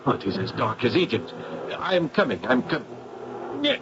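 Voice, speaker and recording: An actor playing an excitable, fastidious Frenchman in a 1970s radio drama, dramatic and formal in phrasing. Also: mid-range voice, close to an everyday voice. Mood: panicked. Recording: muffled, very noisy old radio recording.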